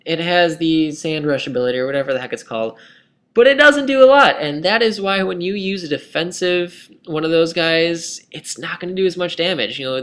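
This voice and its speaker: A man's voice: a little high, fairly bright tone. A young man commentating his own online game match in a casual, self-critical, rambling way, his voice tired and scratchy. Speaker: gaming commentator.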